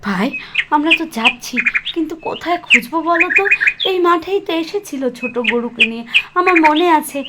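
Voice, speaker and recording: A woman's voice: high-pitched, animated, a little fast, very noisy. A woman voicing a distraught, anxious village sister-in-law who calls out and laments repetitively in a puppet cartoon. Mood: anxious searching. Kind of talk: Bengali puppet cartoon voice acting.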